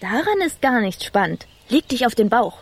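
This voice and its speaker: Little mouse princess, children's radio play, husky, highish, lively pitch swings, pert and bossy. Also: female voice, moderate pace, heavily acted. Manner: pert, commanding, condescending. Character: cheeky, haughty little mouse princess, bossy and self-assured.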